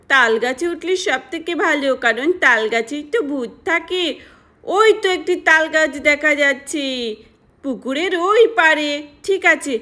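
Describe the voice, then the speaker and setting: A woman's voice: high voice, sharp tone. A woman voicing mischievous young village girls in a children's doll story, in an exclamatory, playfully scheming way.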